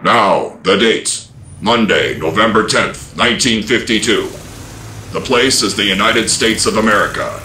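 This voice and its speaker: A man narrating an old-time radio drama as a portentous, deliberate announcer. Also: on the low side, roomy sound, background noise, close to an everyday voice. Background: orchestral score.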